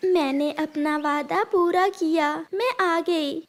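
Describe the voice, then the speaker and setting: A woman's voice: extremely high pitch, fairly even intonation, slow, heavily acted. A woman voicing an honest, tender mother bird in a children's cartoon, earnest and imploring. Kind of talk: Hindi children's cartoon voice acting.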